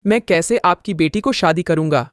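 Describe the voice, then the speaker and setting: A woman's voice: fairly low pitch, normal speed, no room sound, clean studio sound, voice pushed down, natural voice. A woman voicing a naive, helpful young male donkey who talks earnestly in a children's storybook tale. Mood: questioning. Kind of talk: children's moral storybook character voice.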